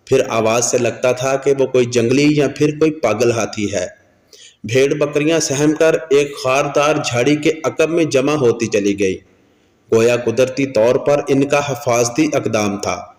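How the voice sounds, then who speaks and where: A man tells a scripted moral story in a mid-pitched voice. The recording is very echoey, with a long hall reverb trailing his words. He reads as a storyteller narrating to listeners. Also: darkish tone.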